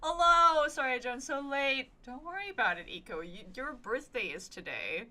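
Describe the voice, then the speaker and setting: A woman's voice: high voice, big pitch swings, normal speed, pushed and bright. A woman streamer chatting casually over a game, playful, rambling and reactive, with music behind her. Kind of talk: casual live stream commentary. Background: video game music.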